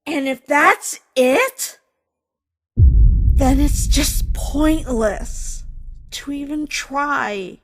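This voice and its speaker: A woman voicing a timid, weary human child in a dramatic comic dub, with a halting, emotional delivery. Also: high-pitched, exaggerated voice. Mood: despairing. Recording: voice over background music.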